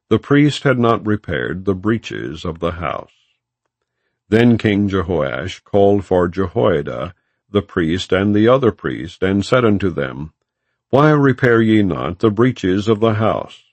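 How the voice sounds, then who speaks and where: Deep-voiced man reading scripture solemnly. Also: gravelly, darkish tone, no room sound. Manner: measured, formal phrasing. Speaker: audiobook narrator.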